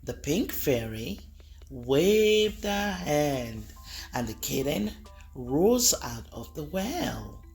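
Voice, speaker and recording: A woman reading a picture book aloud to children, expressive and talking to the kids directly. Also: low voice, very slow, vocal fry, soft background music. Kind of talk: read-aloud storytelling for kids.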